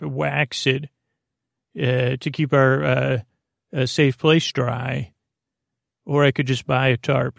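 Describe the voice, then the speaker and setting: A man hosting a sleep podcast with an improvised bedtime monologue, meandering, halting and mildly self-deprecating, with a creaky voice. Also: medium pitch, dry recording, clean studio sound. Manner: meandering, halting, often trailing off mid-sentence.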